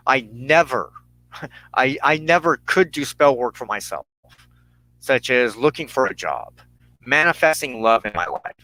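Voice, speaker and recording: Bright-voiced man giving a solemn spiritual talk. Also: mid-range voice, dry recording, low-quality recording. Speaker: host of a religious broadcast. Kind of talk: spoken sermon, personal testimony and teaching.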